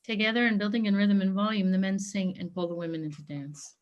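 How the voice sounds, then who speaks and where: A woman's voice: medium pitch, fairly even intonation, fast talker, muffled recording. A woman reading stage directions as scripted narration for a play.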